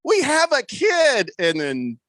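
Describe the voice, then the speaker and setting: A man's voice: extremely high pitch, wildly swooping pitch, slow pace, fairly bright tone, no room sound, clean studio sound. A man hosting a sports radio talk show, telling stories and reading listener comments in casual banter. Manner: storytelling, reads listener comments aloud.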